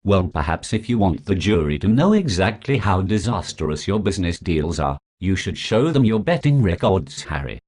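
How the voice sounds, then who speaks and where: An actor playing a foul-mouthed prosecuting lawyer who talks with sarcastic contempt. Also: male voice, deep voice, very animated, normal speed, few pauses, a little mellow. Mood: scornful. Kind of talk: comedy sketch acting.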